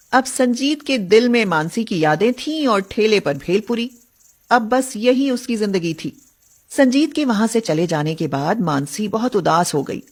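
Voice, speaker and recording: A woman telling a scripted story as its narrator. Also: mid-range voice.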